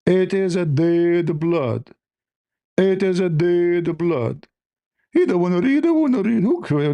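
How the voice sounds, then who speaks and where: A man's voice: a little high, dark tone, no room sound, studio quality. A man whose first language is Arabic, hosting a heated live debate, combative and mocking, repeating himself insistently. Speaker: debate stream host.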